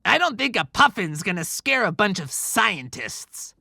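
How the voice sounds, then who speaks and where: An actor playing an excitable, stubborn, wisecracking sidekick of Santa's in an audiobook drama, with a creaky, bright voice. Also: mid-range voice, measured pace, no room sound, studio quality, full character voice. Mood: wry. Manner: sarcastic, animated, mouthy. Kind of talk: cinematic audiobook character acting.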